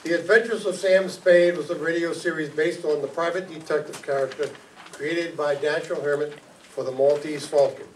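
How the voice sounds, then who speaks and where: Casting: older man, stage announcer reading script, slightly raised pitch, distant mic. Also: steady tone, moderate pace. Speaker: announcer introducing a radio play. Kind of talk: scripted introduction read aloud. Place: stage of a community meeting hall. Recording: distant mic, faint room noise.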